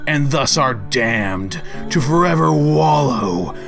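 A man giving a pompous, theatrical dramatic reading of a written rant, slowly and deliberately. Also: medium pitch, lively intonation, vocal fry, a bit bright, faint music behind. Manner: pompous, slow, theatrical delivery.

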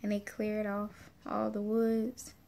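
Slow-talking woman, casual. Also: medium pitch, completely flat delivery, gravelly, mellow. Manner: informal, folksy, conversational. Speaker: family vlogger. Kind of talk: casual chat to camera. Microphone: phone camera at arm's length.

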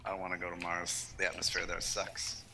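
A man's voice: low-pitched, completely flat delivery, brisk, pushed and bright. A man chatting casually as a gaming partner, joking and teasing as he talks. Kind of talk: casual gameplay chat.

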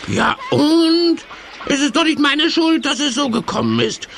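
A man playing a grumpy, mischievous, whiny villain in a children's audio play, with a squeaky, theatrical delivery. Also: unhurried, creaky, a bit bright, a little hiss or hum, exaggerated voice. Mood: gleeful, defiant.

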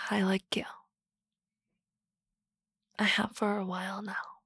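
A woman playing a teasing, confident office boss in a soft, close, whispered ASMR roleplay. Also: medium pitch, flat delivery, slow, husky, no room sound.

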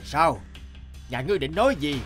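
A man voicing a gluttonous, hot-tempered fat king in an animated folk tale, imperious and blustering, with music behind. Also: on the high side, moderate pace. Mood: stern.

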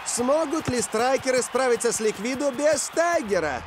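Very high-pitched excitable commentator. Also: male voice, moderate pace, over music. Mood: eager. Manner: dramatic, excitable. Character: excitable male sports commentator, cartoon. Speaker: match commentator. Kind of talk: cartoon sports commentary.

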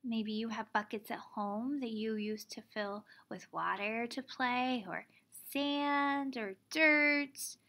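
A woman in her thirties reading a children's picture book aloud like a teacher, expressively, pausing to explain things simply for young children. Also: on the high side, sharp tone. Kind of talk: children's storybook read-aloud.

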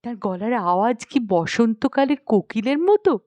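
A woman's voice: fairly high pitch, expressive, normal speed, a little mellow, dry recording, lightly acted. A woman voicing a haughty, spoiled young princess in a storybook, asking questions in a huffy, indignant manner. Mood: eager curiosity. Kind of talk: dramatised storybook reading.

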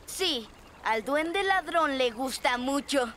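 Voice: high-pitched, expressive, takes it slowly, fairly bright tone, a little hiss or hum, exaggerated voice. A performer voicing a childlike, sly but doting thieving goblin in a children's cartoon dub, with a cooing manner. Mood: curious, delighted. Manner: cooing, talks of self in third person. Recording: music behind the voice. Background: music.

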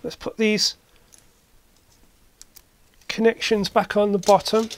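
Someone narrates a hands-on repair at a slow pace, with a medium pitch. The delivery is casual and hesitant, like a person thinking aloud while working through each step. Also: level tone, a little hiss or hum. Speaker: repair video maker. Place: indoor electronics workshop.

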